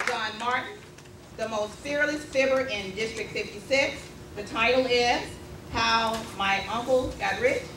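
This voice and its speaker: A woman's voice: a little high, unhurried. A woman making a formal announcement to an audience as she introduces a contest speaker. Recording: roomy, distant mic, clearly noisy.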